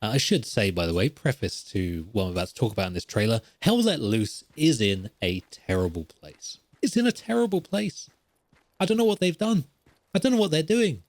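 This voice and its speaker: Guy doing a gaming podcast solo, rambly and opinionated, medium pitch, really dry sound. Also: fairly quick, dry recording. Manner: rambling, animated and opinionated, with emphatic repetition. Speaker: podcast host. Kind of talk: casual solo gaming commentary.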